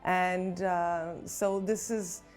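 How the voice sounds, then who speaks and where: A woman's voice: lowish voice, fairly even intonation, very slow, darkish tone. A woman in her thirties from Portugal, speaking English with a Portuguese accent as a nurse recounting her experience in a reflective interview, with frequent restarts. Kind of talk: personal testimony interview. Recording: faint background music.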